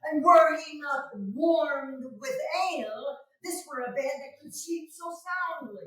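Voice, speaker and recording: A woman playing a deferential servant huntsman in a staged Shakespeare reading, projecting with deliberate theatrical delivery. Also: high-pitched. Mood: dutiful, emphatic. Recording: very echoey stage, far off.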